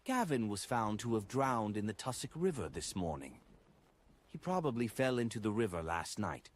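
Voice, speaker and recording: A man acts out a drunken man in an audiobook story. He rambles and drones ruefully, with pitch that swings widely and often jumps up from a low base. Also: sing-song, moderate pace. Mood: rambling, rueful. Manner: rambling, droning. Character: drunken, sighing man reporting on a drowned friend. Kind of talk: audiobook character dialogue.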